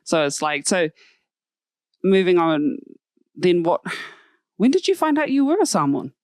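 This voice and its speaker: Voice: medium pitch, big pitch swings, unhurried, no room sound, clean studio sound. A guest interviewer asking curious, probing questions in a light, casual way.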